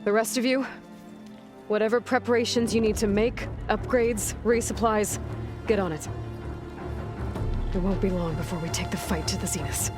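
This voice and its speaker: A woman's voice: mid-range voice, fairly even intonation, normal speed, long pauses, natural voice. A woman voicing a determined young warrior leader in a video game, weary but resolute, with music behind her. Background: background music.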